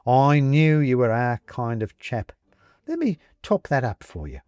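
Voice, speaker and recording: A man voicing a jovial, persuasive ghost gentleman in an audiobook, with a British accent and clipped, chummy, old-fashioned upper-class phrasing. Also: mid-range voice, very animated, unhurried, no echo. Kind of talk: audiobook character acting.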